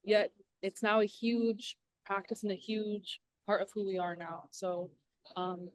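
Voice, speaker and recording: Young woman, panel speaker, earnest and encouraging, dry close sound, mid pitch. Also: steady tone, measured pace, no echo. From southwest Alaska. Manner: earnest, encouraging, many fillers. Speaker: panelist, engineer. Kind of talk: casual panel talk.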